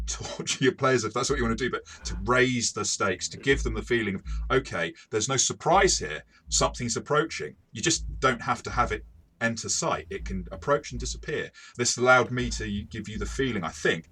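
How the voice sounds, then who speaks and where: A man with a fairly low voice and a bright, forceful edge hosts a relaxed review chat. He speaks in a halting way, circling back over words and often chuckling as he talks. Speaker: host, game master reviewing tabletop RPGs. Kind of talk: casual review discussion.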